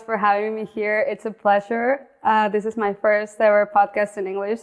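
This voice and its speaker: A young adult woman from Mexico with a Mexican accent, a native Spanish speaker, talking casually as an interview guest and restarting her English phrases. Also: medium pitch. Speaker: guest, outdoor content creator.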